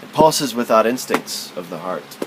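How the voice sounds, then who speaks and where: A man's voice: lowish voice, big pitch swings, moderate pace. Guy doing spoken word, kinda philosophical and musing, bit of background noise. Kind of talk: spoken-word reading of literary prose.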